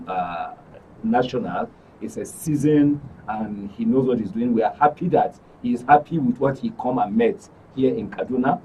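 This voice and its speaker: A Nigerian man speaking earnestly and emphatically as a guest in a talk show interview. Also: mid-range voice. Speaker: actors' guild guest. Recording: distant, dull mic with faint noise.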